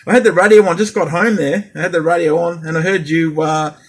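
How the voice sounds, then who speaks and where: A man's voice: a little high, steady tone, fairly quick, husky. A man in a casual radio chat as a CB operator, speaking hesitantly and repeating himself. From Australia.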